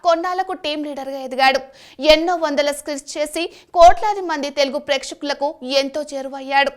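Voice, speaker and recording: A woman's voice: high voice, a bit bright. A woman reading a scripted voice-over as an entertainment news narrator.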